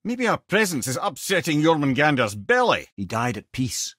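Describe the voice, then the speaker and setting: Animated Scottish sage Mimir, wry storyteller. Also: male voice, a little high, moderate pace, slightly rough, no echo, clean studio sound, exaggerated voice. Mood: wry storytelling. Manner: wry, eloquent, theatrical storyteller. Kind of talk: video game character dialogue and storytelling.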